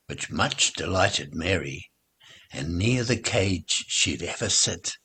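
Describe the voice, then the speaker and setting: A man reading nursery rhymes aloud in an expressive, deliberate, sing-song way, as a recital for children. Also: low-pitched, breathy edge.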